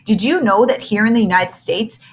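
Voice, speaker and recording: A woman's voice: medium pitch, a little fast, breathy edge, lightly acted. A woman reading aloud, voicing a cocky fourth-grade boy who teases in a smug way. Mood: mocking. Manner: teasing, smug.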